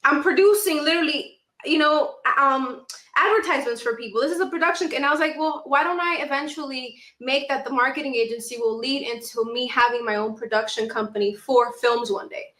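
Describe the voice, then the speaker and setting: A young woman with a high, bright voice speaks as a guest in a casual interview. She tells her story in an animated, conversational way, acting out things she has said. The sound is roomy, with noticeable echo. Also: a little fast. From Dominican Republic and New York City. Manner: animated storytelling, acts out her own past remarks. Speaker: podcast guest, actress and content creator.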